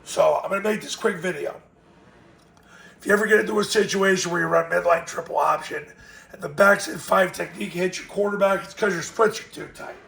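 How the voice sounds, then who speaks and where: A man with a raspy voice, hoarse from a cold, giving blunt, emphatic football coaching tips. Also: high-pitched, a little fast, a bit bright, reverberant.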